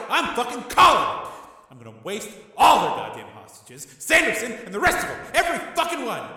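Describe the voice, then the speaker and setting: A man voicing a volatile young male mobster who yells and swears explosively. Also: very high voice, recorded from a distance, voice pushed up, heavily acted. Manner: yelling, profane, explosive. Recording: echoey hall.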